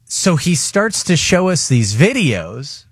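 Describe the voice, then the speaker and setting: A man's voice: fairly high pitch, breathy edge, dry recording. Storytelling male radio host, dry studio. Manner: animated storyteller, quick asides. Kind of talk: casual morning radio banter.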